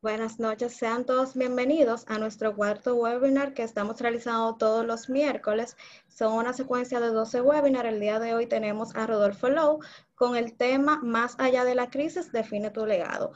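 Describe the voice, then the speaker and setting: A woman's voice: fairly high pitch, steady tone, moderate pace. A woman hosting a government webinar, giving a polite, formal introduction over a video call. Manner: polite, announcing. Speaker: webinar host. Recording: dull lo-fi video call audio.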